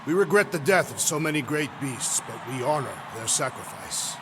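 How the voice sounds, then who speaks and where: A man's voice: fairly high pitch, animated, moderate pace, background noise, lightly acted. A man playing a literal-minded alien warrior in a video game, formal, earnest and declamatory. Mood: solemn. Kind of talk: video game cutscene acting.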